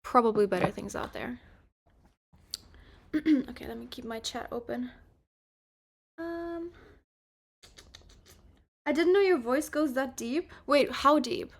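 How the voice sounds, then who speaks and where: A woman's voice: high-pitched, animated, takes it slowly, gravelly, long pauses. A woman in her early twenties chatting casually with her stream while doing her makeup, rambling with lots of filler words. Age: 20s. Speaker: livestreamer.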